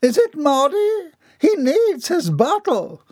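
A dazed old woman her voice pitched very high and her words drawn out slowly. She sounds lost and wandering, with a lively rise and fall, recorded in a dry, close way. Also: no room sound, exaggerated voice. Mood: confused. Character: confused old woman in a nightgown.

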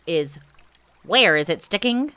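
A woman's voice: on the low side, expressive, takes it slowly, bright, forceful tone, smooth, exaggerated voice. A woman voicing a self-important, bossy male rabbit in a storybook, with a clipped, matter-of-fact manner. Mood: pondering. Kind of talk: storybook character voice.

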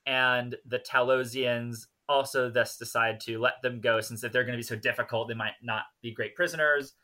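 A man's voice: mid-range voice, steady tone, moderate pace, bright, forceful tone. A man co-hosting a podcast, recapping and chatting casually with wry asides. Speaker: podcast co-host. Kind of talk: casual chat and episode recap.

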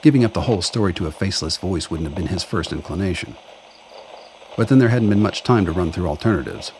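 A man's voice: sits low, lively intonation, fast, a little mellow, a little hiss or hum. A man reading scripted narration for a radio drama.

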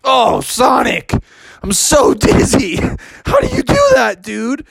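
A storyteller voicing an excitable, nervous young boy hero in a children's story, exclaiming and wailing in kid slang. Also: mid-range voice, unhurried, slight background noise, voice pushed up. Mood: dizzy. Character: excitable, nervous young male frog-named kid hero, easily overwhelmed. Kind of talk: character voice in improvised children's story.

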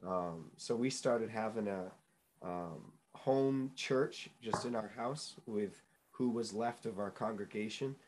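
Earnest young man, lower voice. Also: slow pace, darkish tone, slight background noise. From New England, United States. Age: young adult. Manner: earnest storyteller, many fillers and restarts. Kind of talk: casual interview chat.

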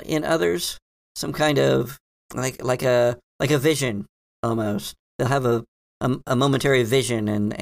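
A man voicing a cryptic, hesitant librarian woman who explains hidden lore in a halting, musing way in a tabletop game. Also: mid-range voice, creaky, dry recording, clean studio sound, voice pushed up. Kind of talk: improvised tabletop roleplay.

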